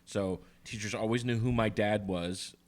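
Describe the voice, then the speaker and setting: A man with a somewhat low, cutting voice that carries well. He co-hosts a podcast and talks in a relaxed, rambling way. He speaks close into a studio microphone. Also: moderate pace. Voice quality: says his voice is cutting and carries. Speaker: podcast co-host. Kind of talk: casual chat. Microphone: headphones and studio mic.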